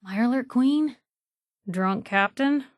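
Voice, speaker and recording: High-voiced, wisecracking young woman. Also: takes it slowly, dry recording, studio quality. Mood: wry, casual. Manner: sardonic, teasing, playful. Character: brash, wisecracking young woman adventurer, wry and fearless. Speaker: game companion character. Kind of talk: video game voice lines, combat barks and quips.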